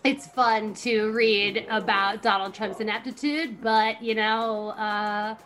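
A woman's voice: mid-range voice, fairly even intonation, takes it slowly, fairly bright tone, faint music behind. A woman talking casually on a podcast in a wry, conversational manner.

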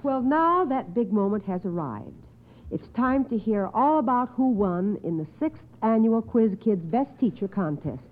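A woman's voice: fairly high pitch, lively intonation, a little slow, a little hiss or hum. A woman hosting an old radio quiz show in a courteous, schoolteacherly manner with precise enunciation, affirming contestants. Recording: muffled phone-like old radio recording.

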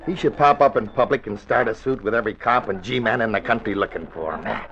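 Actor as a low-voiced, tough gangster. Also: male voice, brisk, lightly acted. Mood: sardonic. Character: tough, wary, sardonic male gangster henchman. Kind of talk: old-time radio crime drama acting. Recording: muffled radio recording, crowd noise behind.

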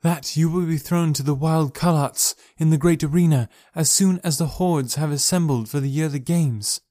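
A loyal green alien woman from a storybook, shaken out of her usual calm, as a male reader puts her on, pitched well above his own voice. She talks with urgent alarm, in a mellow tone recorded close and dry. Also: normal speed, dry recording, close to an everyday voice.

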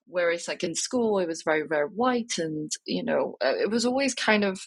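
A woman's voice: on the low side, animated, normal speed, slightly rough, no room sound, clean studio sound. A young woman from Wales, talking reflectively as an interview guest, with many fillers and restarts. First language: Cantonese.

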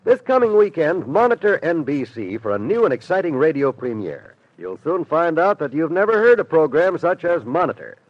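A man's voice: a little high, sing-song, normal speed, few pauses, no echo. An American man announcing on 1950s radio with polished, emphatic, scripted salesmanship. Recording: dull vintage radio recording. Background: music.

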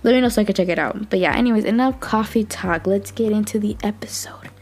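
A young woman from Southern California hosting a podcast in casual, bubbly chat, her voice a little raspy from just waking up. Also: medium pitch, fast. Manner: chatty, bubbly, laughs often, quiet morning talk. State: slightly raspy morning voice. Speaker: podcast host.

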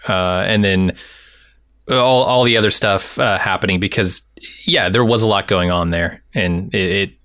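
A man with a smooth, clear and fairly low voice chats as a podcast co-host. He is agreeable and measured as he gives opinions. The sound is very dry, with no room around it. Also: a bit bright, dry recording. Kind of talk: casual discussion.

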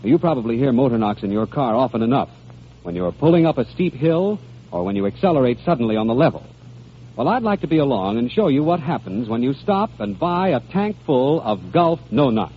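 A man delivering an advertising pitch as a 1940s radio announcer. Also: sing-song, fairly quick. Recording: muffled, noisy old radio recording.

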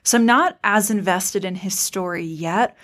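A woman's voice: mid-range voice, unhurried, a bit bright. A woman hosting a podcast, giving articulate, emphatic opinions in a casual fan discussion. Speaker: podcast host.

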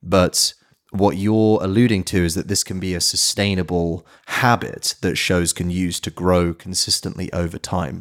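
Male podcast host, low-pitched, conversational interviewer who thinks aloud and turns ideas into questions.

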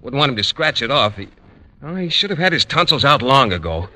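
An American actor playing a shy, earnest, naive schoolteacher, hesitant and mild, in a vintage radio comedy. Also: male voice, mid-range voice, very animated, brisk, sharp tone. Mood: sheepish. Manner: hesitant, mild, literal-minded. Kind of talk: 1940s-50s radio comedy acting.

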